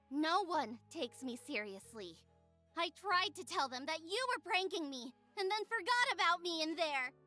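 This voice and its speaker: A woman's voice: extremely high pitch, bright, forceful tone, exaggerated voice. A woman playing an angry, bitter teenage magical girl in a visual novel, speaking in an indignant, curt, accusing way. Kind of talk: visual novel character acting.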